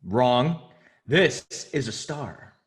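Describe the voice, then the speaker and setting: A man's voice: medium pitch, very slow. A man reading a crude, boisterous young line cook in a table read of a TV pilot. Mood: teasing. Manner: crude, excitable, laughs often. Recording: roomy echo.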